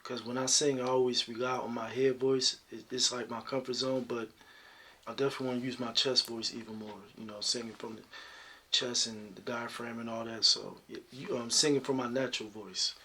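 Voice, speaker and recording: A man with a deep talking voice, answering interview questions about his singing in a relaxed, reflective way. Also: brisk, husky. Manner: relaxed, reflective, many "you know" fillers. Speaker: singer guest.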